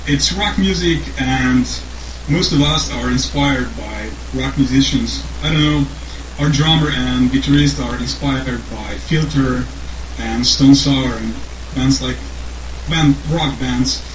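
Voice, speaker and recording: Young Slovene-accented man, unsure and halting, recorded in a reverberant room with heavy noise. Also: medium pitch. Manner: hesitant, many fillers and restarts. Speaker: band songwriter. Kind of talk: casual interview answers.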